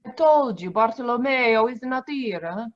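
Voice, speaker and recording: An actress playing a bitter, proud, anguished Sicilian woman in a 1940s-style radio drama, halting and emotional, over a dull video call. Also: on the high side, animated, close to an everyday voice. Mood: wary, defensive.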